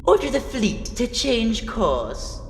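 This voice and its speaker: A woman voicing a cold, imperious galactic emperor in an animated space story, commanding and disdainful. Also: on the high side, takes it slowly, creaky, roomy sound, in a large hall, heavy background noise, exaggerated voice. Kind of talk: animated space villain dialogue.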